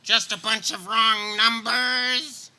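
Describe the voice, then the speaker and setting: A man's voice: sits high, little pitch movement, takes it slowly, pushed and bright, full character voice. A man playing an inquisitive call-center coworker in a comic radio play. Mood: curious. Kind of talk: live comic radio theater acting.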